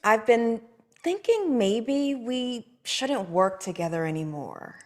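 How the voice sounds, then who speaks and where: A woman's voice: fairly high pitch, lively intonation, slow pace. A woman reading the part of a frustrated TV writer who speaks in an earnest, pointed way. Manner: earnest, pointed, pushing back. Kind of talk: comedy table read.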